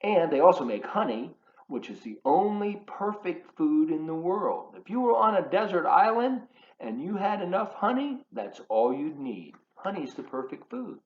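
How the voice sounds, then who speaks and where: A man reading a children's book aloud in an expressive, emphatic, teacherly way, adding chatty asides for his young listeners. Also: sits high, normal speed. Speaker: volunteer children's storybook reader. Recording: roomy echo, slightly dull sound.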